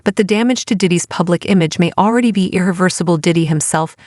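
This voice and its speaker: A woman's voice: on the low side, fast, darkish tone, no echo, clean studio sound. A woman reading scripted news narration with dramatic storytelling phrasing.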